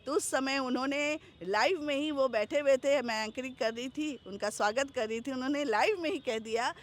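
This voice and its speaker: A middle-aged woman from Bihar, India, a stage anchor and folk dancer, answering interview questions in a measured, articulate announcer's manner. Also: sits high.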